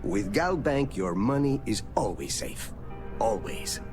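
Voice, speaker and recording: A courteous male bank teller with a fairly low, animated delivery. He sounds like a canned salesman from a video game. Music plays clearly behind him. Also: takes it slowly. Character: polite, scripted male bank teller spouting slogans.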